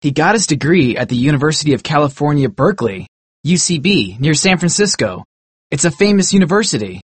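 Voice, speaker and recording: Man playing an assured, husky young male sleuth. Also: a little high, big pitch swings, fairly quick, no echo. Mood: thoughtful. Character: thoughtful, confident young man, amateur sleuth. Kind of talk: acted dialogue in audiobook story.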